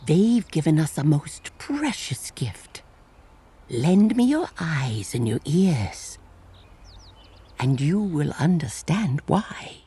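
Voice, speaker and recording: An actor voicing a kindly yokai animal officiant in a motion comic, speaking in a measured, reverent, gentle storytelling way. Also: medium pitch, sing-song, breathy edge, dry recording, heavily acted. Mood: calming. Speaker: officiant and narrator. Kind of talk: scripted ceremonial storytelling. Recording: music playing behind the voice.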